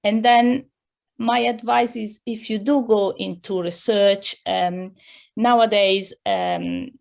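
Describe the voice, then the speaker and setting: Middle-aged Italian-accented woman, director on a video call, gravelly voice, hesitant, many fillers. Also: mid-range voice, animated, slow pace, darkish tone. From Italy. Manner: careful, with many fillers and restarts. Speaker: director of a computing science centre, guest. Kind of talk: interview over video call. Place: home room.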